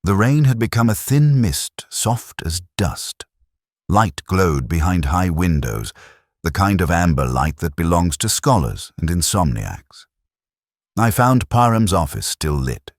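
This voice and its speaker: A man performs as a melancholy male detective telling his case in the first person. The voice is extremely low, with lively rises and falls in pitch. The delivery is measured, reflective and literary, like a scripted mystery drama, in a dry recording. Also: dry recording, exaggerated voice. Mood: pensive, melancholy.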